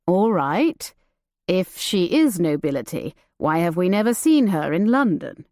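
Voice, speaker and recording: A woman doing a curt, guarded young gentleman. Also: lowish voice, big pitch swings, unhurried, dry recording. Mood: dry. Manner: curt, dry.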